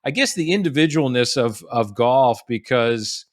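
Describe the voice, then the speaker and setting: A man's voice: medium pitch, expressive, normal speed, no room sound, clean studio sound. A man in his forties from the United States, a golf professional telling stories as a podcast guest, with lots of restarts and fillers. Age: 40s. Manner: chatty storyteller with frequent restarts and fillers. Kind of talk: casual podcast interview.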